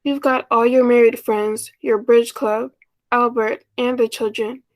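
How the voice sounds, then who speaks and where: A woman's voice: fairly high pitch, level tone, unhurried, no room sound, lightly acted. A woman playing a shy, lonely, self-effacing older sister in a 1940s-style radio play, speaking wistfully and hesitantly. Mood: pleading. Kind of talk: 1940s radio play acting, first-person narration.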